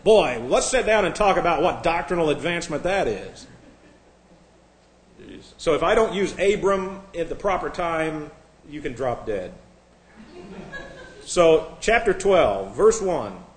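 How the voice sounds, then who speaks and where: A man's voice: on the high side, animated, measured pace, long pauses. A man from Indiana preaching a sermon in a conversational way, full of asides and wry jokes, and reading scripture aloud in a raised, formal voice. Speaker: pastor. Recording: echoey room.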